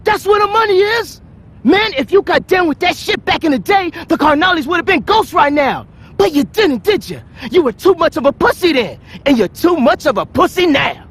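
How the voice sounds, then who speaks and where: A defiant young gang underling from a video game, aggressive and quick to challenge. His voice is extremely high for a man and swings widely in pitch. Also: expressive, fairly bright tone. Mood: angry, taunting. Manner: aggressive, confrontational. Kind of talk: video game cutscene acting.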